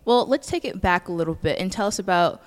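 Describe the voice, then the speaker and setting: A woman's voice: on the low side, animated, fast talker. A young woman co-hosting a student podcast, chatty and full of fillers. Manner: chatty, filler-heavy, giggly. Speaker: co-host. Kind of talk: casual podcast chat.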